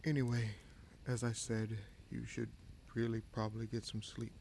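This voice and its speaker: A man playing a vengeful, brooding bastard prince and sorcerer in a fantasy audio roleplay, speaking in a measured, solemn, halting way. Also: mid-range voice, completely flat delivery, creaky, darkish tone, a little hiss or hum, lightly acted. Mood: calm, pensive.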